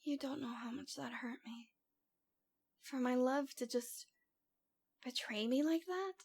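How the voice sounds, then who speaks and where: A woman's voice: sits high, unhurried, vocal fry, darkish tone, full character voice. A woman playing a cute, lovesick young stalker girl in an ASMR roleplay, with a coy, pouty, dramatic delivery. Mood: hurt, wistful.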